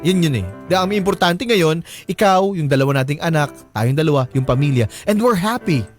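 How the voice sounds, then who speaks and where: Animated high-voiced Filipino man on radio. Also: fairly quick, faint music behind. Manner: playful, teasing, mixes English into speech. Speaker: radio host. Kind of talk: casual chat, giving advice to a caller.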